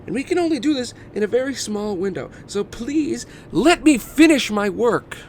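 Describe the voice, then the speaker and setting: A man's voice: extremely high pitch, animated, normal speed, a little mellow, voice pushed up. A man voicing an irritable, impatient, sarcastic engineer who rants condescendingly in an amateur script read. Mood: irritated, condescending. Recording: noticeable background noise.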